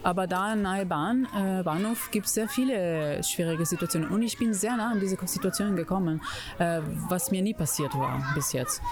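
A woman's voice: lowish voice, normal speed, noisy background. A woman from Italy with an Italian accent, a puppeteer answering interview questions, often searching for words. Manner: searching for words, many fillers and restarts.

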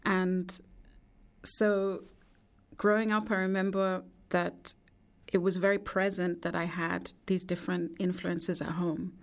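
A woman, a guest speaking reflectively in a studio interview, pausing thoughtfully. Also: on the low side, gravelly. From England. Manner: reflective, measured, with thoughtful pauses. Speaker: artist and poet guest.

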